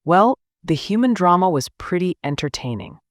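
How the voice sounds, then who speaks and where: Woman voicing a polite, bookish, expressive teenage girl. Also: fairly low pitch, moderate pace, no room sound, clean studio sound. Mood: analytical, blunt. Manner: formal, matter-of-fact. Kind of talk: anime-style dubbed story acting.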